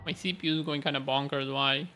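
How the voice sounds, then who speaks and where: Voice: mid-range voice, fairly even intonation, quick pace. A streamer giving casual gameplay commentary, chill and musing aloud while playing. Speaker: streamer playing a story game. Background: game music.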